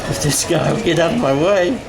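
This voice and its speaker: High-pitched man calling football play-by-play. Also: brisk, vocal fry, a loud crowd, low-quality recording. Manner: narrates scoreboard and clock in short bursts. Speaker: play-by-play announcer. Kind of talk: live amateur sports play-by-play commentary. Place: outdoors at a football stadium.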